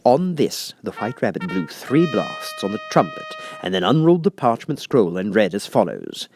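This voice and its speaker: Very animated male storybook narrator. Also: fairly low pitch, moderate pace, creaky. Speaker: audiobook reader.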